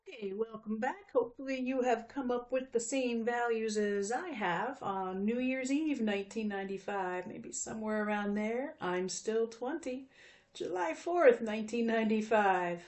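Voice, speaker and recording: A woman's voice: fairly high pitch, normal speed, a little mellow. Chatty woman in her fifties teaching math. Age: 50s. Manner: friendly, chatty, with frequent fillers. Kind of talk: recorded video lesson.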